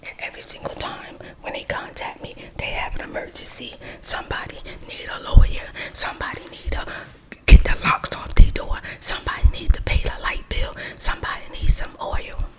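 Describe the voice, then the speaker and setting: A woman's voice: brisk, fairly bright tone. A woman whispering a gossipy storytime while she does her makeup, close to the mic. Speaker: vlogger.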